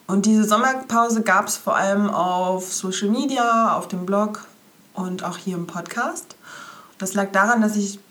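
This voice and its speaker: A woman's voice: medium pitch, unhurried, fairly bright tone. A young woman hosting a casual solo podcast, stuffy-sounding from a cold, cheerful and chatty as she talks straight to the listener. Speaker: podcast host.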